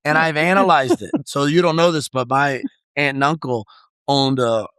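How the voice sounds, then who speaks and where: A man's voice: mid-range voice, fairly even intonation, normal speed, a bit bright, clean tone, dry recording. A young man hosting a casual podcast conversation, talking in an enthusiastic, chatty way with lots of fillers. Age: young adult. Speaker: podcast host. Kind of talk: casual chat.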